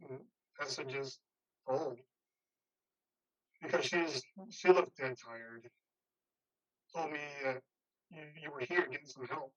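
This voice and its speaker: Man playing an easygoing, halting working-class buddy. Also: medium pitch, fairly bright tone, dull audio. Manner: casual, halting. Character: Homer, easygoing, loyal male construction worker buddy. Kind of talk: remote staged play reading.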